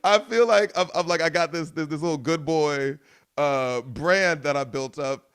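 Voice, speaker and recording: A man hosting a casual interview. He talks excitedly, full of slang, and often restarts his words. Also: on the high side, moderate pace, smooth. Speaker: podcast host.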